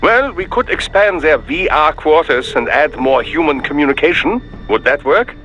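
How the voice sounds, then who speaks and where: An actor playing an erudite, polite, dryly pragmatic scientist in a video game, speaking formally and precisely. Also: male voice, on the high side, very animated, moderate pace, a bit bright, muffled recording, slight background noise. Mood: dry, measured.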